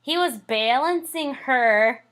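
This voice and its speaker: A woman co-hosting a podcast in a casual chat, speaking in an unhurried way that trails off, with fillers. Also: high-pitched, animated, a bit bright. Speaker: podcast co-host.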